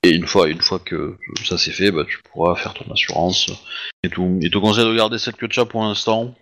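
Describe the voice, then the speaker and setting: Low-voiced man hesitantly narrating a game. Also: steady tone, brisk, a bit bright. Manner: hesitant, many fillers, casual slang. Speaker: game master.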